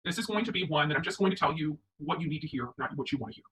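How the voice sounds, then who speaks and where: A woman's voice: deep voice, expressive, racing, a bit bright, distant mic, poor audio. A woman from the United States hosting opinionated livestream commentary, speaking emphatically and repeating her points for stress. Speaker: online commentary show host.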